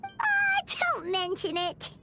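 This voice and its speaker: A woman voicing a tiny, excitable fairy companion in a video game, squeaky and childlike, with an exclamatory, wide-eyed delivery. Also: slow, heavy background noise, exaggerated voice. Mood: bashful.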